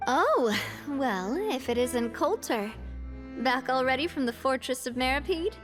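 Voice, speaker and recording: A woman's voice: high voice, animated, unhurried. A woman voicing a cheerful, genteel young female boss in an anime game. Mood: brisk. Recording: game music behind.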